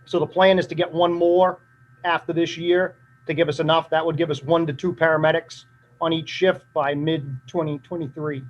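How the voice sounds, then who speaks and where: A man presenting a fire department budget at a town meeting, matter-of-fact and steady, his voice drying up. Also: on the high side, normal speed. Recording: dull lo-fi meeting recording.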